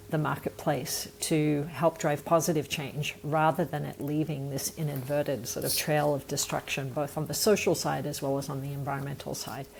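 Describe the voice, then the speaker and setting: A woman's voice: sits low, vocal fry, slight background noise. A woman from Australia with an Australian accent, telling her story as a guest in an earnest, reflective way. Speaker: nonprofit founder, podcast guest. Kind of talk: conversational interview answer.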